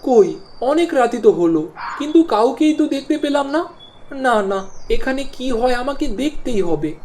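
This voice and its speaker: A woman's voice: high voice, big pitch swings, moderate pace, background noise. A woman voicing an anxious but determined young man in a cartoon. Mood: doubtful, curious. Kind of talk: cartoon voice acting. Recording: very loud music behind the voice.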